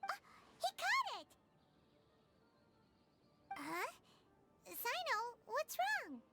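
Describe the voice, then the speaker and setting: A woman voicing a small, excitable, blunt childlike floating creature with a squeaky voice in a video game. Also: drawn-out words, exaggerated voice. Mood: excited, curious. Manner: exclamatory, indignant questioning. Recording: background music behind the voice.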